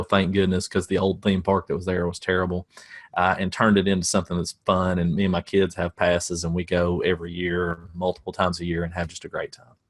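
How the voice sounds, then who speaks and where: A middle-aged man with a Southern American accent, teaching coaches about marketing in a casual, folksy way with self-deprecating jokes. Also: low-pitched.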